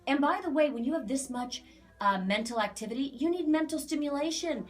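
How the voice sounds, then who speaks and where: An American woman explaining an aura reading to clients in a casual, emphatic, animated way, repeating phrases for emphasis. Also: high-pitched, fairly quick, soft background music. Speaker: aura reader.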